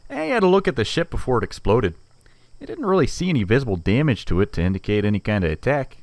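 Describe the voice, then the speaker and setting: A man playing a wry, casual mercenary engineer in a sci-fi audio drama, talking in a conversational, animated way. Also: medium pitch, fast, no room sound. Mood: wry, analytical.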